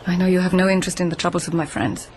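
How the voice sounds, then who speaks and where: A woman's voice: fairly low pitch, steady tone, racing, background noise, natural voice. An actress playing a poised, witty court lady who also narrates, speaking in a dry, wry way. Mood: composed. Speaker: narrator and lead character. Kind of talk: radio drama acting and narration.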